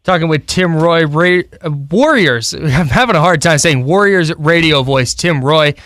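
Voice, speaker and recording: A man's voice: fairly high pitch, measured pace, a bit bright, no echo, studio quality. A man co-hosting a sports radio show, casually interviewing a guest.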